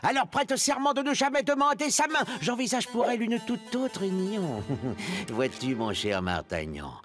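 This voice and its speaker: Pompous villainous cardinal, wildly swooping voice. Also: male voice, high voice, very animated, moderate pace, a bit bright, soft background music. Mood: menacing, gloating. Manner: pompous, mocking, theatrical. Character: scheming, power-hungry male cardinal, theatrical villain. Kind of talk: French animated series acting.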